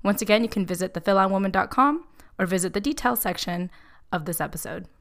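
A woman's voice: mid-range voice, lively intonation, quick pace, fairly bright tone. A woman hosting a podcast, chatty and upbeat as she interviews a guest and reads an ad. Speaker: podcast host. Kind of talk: podcast interview and host-read ad.

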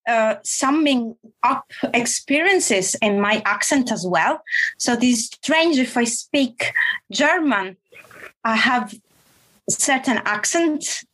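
A woman writer with an Italian accent who speaks slowly and searches for her words with many fillers. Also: on the high side, fairly bright tone, rough recording. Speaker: writer, panel guest. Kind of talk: casual chat.

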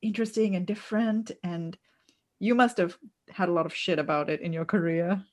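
A woman's voice: on the low side, fairly even intonation, brisk, a little mellow. A woman hosting a casual podcast interview, encouraging her guest with frequent affirmations. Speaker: podcast host.

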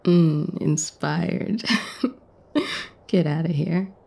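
Romantic radio play lead: actress playing a wry, teasing woman, deep for a female voice, creaky and unhurried. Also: dark tone, heavily acted. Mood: teasing, self-deprecating. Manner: casual, teasing, hesitant. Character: wry, self-deprecating woman shop owner, flirtatious. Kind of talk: intimate audio romance acting.

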